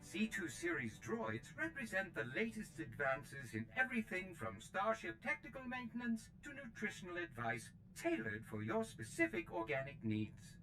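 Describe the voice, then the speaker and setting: High-pitched, fussy servile droid. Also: male voice, heavily acted, poor audio. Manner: formal, eager, theatrical, precise. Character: fussy, servile, proud male protocol droid steward. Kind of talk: video game character acting.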